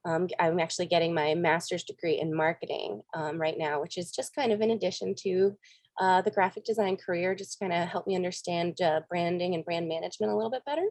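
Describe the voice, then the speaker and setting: A woman's voice: on the low side, steady tone, quick pace, darkish tone, no room sound. An American woman in her thirties with a slight cold, chatting over a video call as a guest designer, friendly and full of ums. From United States. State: sniffly with a cold. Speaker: guest speaker, graphic designer. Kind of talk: casual video-call chat and talk.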